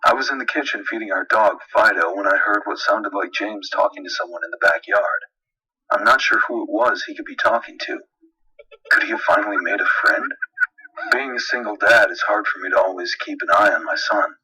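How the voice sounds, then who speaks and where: A man's voice: lowish voice, pushed and bright, breathy edge, recorded from a distance, poor audio. A man reading a horror story as a dramatic narrator. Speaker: creepypasta narrator. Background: music.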